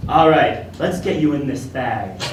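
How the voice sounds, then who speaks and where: A man playing a loyal, mock-polite male manservant in live improv comedy, slow and dry. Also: mid-range voice, lively intonation, lightly acted. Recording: echoey stage from far off, audience noise.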